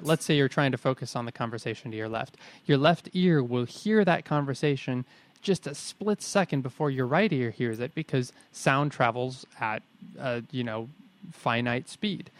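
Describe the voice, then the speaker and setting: A man's voice: mid-range voice, no echo. Dry-sounding wry man co-hosting. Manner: wry, jokey asides, restates ideas. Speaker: podcast co-host. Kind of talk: casual explanatory chat.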